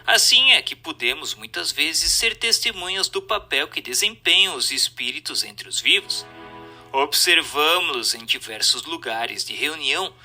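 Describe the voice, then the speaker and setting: A Brazilian man narrates a formal text in a measured, didactic way. His voice is strikingly bright and crisp-edged, pitched a little high for a man. Music plays softly behind his reading. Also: animated. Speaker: audiobook narrator. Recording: voice over background music.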